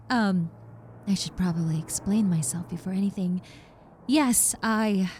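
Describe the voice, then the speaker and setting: A woman's voice: mid-range voice, darkish tone. A woman playing a nervous, apologetic young reformed yandere in a roleplay audio drama, speaking in a hesitant, stammering, earnest and reassuring way. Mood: awkward, friendly. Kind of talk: roleplay audio drama, conversational monologue to the listener.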